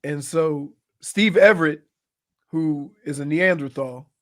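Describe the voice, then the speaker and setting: A man co-hosting sports radio, a former football player telling animated stories. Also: on the high side, slow pace. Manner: storytelling, animated punchlines. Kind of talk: casual sports talk. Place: radio studio. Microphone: studio mic.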